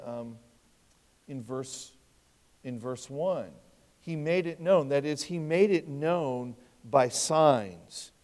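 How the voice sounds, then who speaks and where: A man in his fifties preaching an expository sermon, talking conversationally and asking his listeners rhetorical questions. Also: on the high side. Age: 50s. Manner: conversational, rhetorical questions, wide swings in loudness. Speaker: pastor. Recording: long hall reverb.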